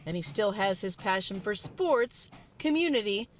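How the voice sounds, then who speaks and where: A woman's voice: mid-range voice, animated, moderate pace. A woman with an American accent narrating a scripted television news report on a noisy recording.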